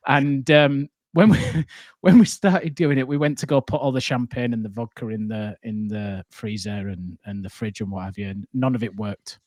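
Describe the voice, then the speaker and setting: A man's voice: mid-range voice, no echo. A man who is a hospitality entrepreneur guest, telling casual, rambling anecdotes and swearing freely.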